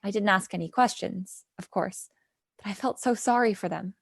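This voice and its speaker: A woman's voice: medium pitch, normal speed, gravelly, no echo, studio quality. A woman playing an earnest, sympathetic young sister in a radio play rehearsal. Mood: sympathetic, troubled.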